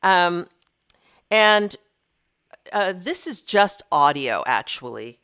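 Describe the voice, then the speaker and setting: A middle-aged woman composer giving a measured lecture over a video call, speaking into a studio mic in her home studio. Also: on the low side, sing-song, fairly bright tone, clean tone. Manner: measured, explanatory, with occasional ums. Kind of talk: presentation lecture. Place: home studio room with keyboard and shelves. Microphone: studio mic on a boom, video call.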